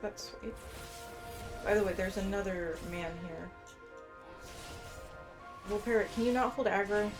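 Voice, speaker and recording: A woman's voice: medium pitch, unhurried, long pauses, over music. A woman giving casual, informal gameplay commentary, musing aloud and reading bits of quest text. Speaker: game streamer. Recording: faint background noise and game ambience.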